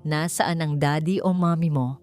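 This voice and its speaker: Kindly laundry woman for an audio story, acted by a female narrator: coaxing, tender, soft mellow tone. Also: low voice, steady tone, moderate pace, soft background music, natural voice. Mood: gentle coaxing. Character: gentle, caring young laundry woman comforting a child.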